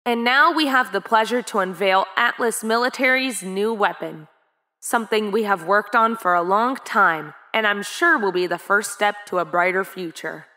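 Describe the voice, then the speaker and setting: A woman playing a poised, proud military official in an animated drama, presenting in a formal, ceremonial manner. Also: mid-range voice, normal speed, close to an everyday voice. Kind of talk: animated-series voice acting. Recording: music behind the voice.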